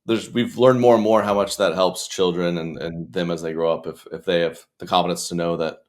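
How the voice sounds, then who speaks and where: A man's voice: low voice, steady tone, a little fast. A man, a young adult, chatting casually as an interview guest in a rambling, stop-start, joking way. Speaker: comedian and children's author, interview guest.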